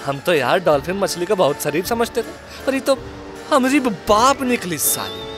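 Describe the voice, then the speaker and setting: A man acting a goofy eastern-Hindi sidekick, swooping pitch. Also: sing-song, normal speed, music in the background. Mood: gossipy, mock-shocked. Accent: eastern Hindi belt. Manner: teasing, foul-mouthed, colloquial. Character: Prasad, crude wisecracking goofy sidekick to a ghost investigator. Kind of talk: Hindi horror-comedy audio drama acting.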